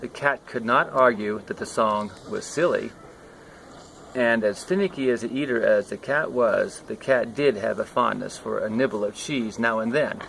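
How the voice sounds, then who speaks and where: An older man with a gravelly voice reading a children's storybook aloud outdoors. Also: lowish voice, normal speed, a bit bright, low-quality recording. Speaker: storybook reader, grandfather. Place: outdoors at a scenic lake. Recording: outdoor ambient noise behind.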